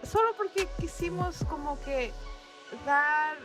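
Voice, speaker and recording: A high-pitched woman from Mexico co-hosts a relaxed podcast chat. She laughs easily, pokes fun at her own Spanish and slips English fillers in. Music plays faintly under her voice. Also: takes it slowly. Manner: laughing, self-deprecating, mixing English words into Spanish. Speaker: podcast co-host. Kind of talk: casual chat.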